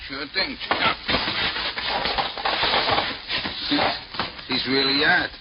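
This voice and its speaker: An actor playing a dim, obedient gangster henchman, deadpan and slangy, in a 1940s radio crime drama. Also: mid-range voice, fairly quick, close to an everyday voice. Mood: obedient, casual. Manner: slangy tough-guy talk, deadpan. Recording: noisy, dull vintage radio recording.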